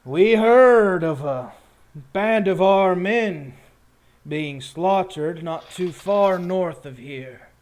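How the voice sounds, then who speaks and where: Guy doing a cruel enforcer villain for a tabletop game, slow taunting threats, kinda higher pitched. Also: expressive, a little mellow, heavily acted. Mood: menacing. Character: menacing, cruel enforcer boss of a guarded town. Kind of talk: tabletop roleplay villain dialogue.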